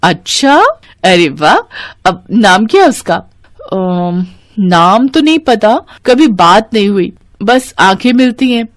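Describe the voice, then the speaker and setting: Casting: female narrator playing excitable young sister in a storybook drama, chatty, lovestruck, very animated pitch. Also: slow pace, no echo, clean studio sound. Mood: shy, confiding. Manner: expressive, chatty. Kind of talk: dramatized Hindi moral story.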